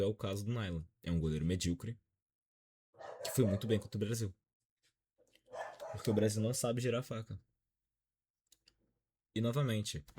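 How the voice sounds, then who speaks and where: A man's voice: fairly low pitch, a little mellow, a little hiss or hum. A Brazilian man in his twenties with a Brazilian accent, giving a dejected, frank football opinion vlog. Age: 20s. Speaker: football commentator.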